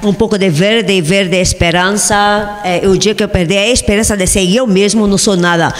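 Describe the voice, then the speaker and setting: A woman's voice: mid-range voice, fairly even intonation, moderate pace. A middle-aged Brazilian woman whose first language is Portuguese chats playfully into a handheld mic, laughing and drifting off topic. Her speech carries a strong Brazilian accent. The studio gives a roomy, echoing sound with a long reverb tail. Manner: playful, rambling, laughs often. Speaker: guest painter. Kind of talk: casual TV interview chat. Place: large TV studio set. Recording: echoey hall with long reverb.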